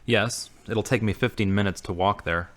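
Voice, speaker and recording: Audio drama role: male actor as earnest ex-carpenter weighed by grief, searching for faith, somewhat low register, plainly worded. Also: moderate pace, a bit bright, lightly acted. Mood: polite, hesitant. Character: grieving former carpenter, earnest, seeking faith again. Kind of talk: amateur audio drama acting.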